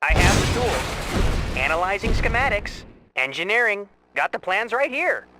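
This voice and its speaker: An eager, dutiful strategy game soldier. His voice is high and bouncy in short acknowledgements, with very loud battle noise behind. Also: very animated, unhurried. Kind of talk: short video game unit responses.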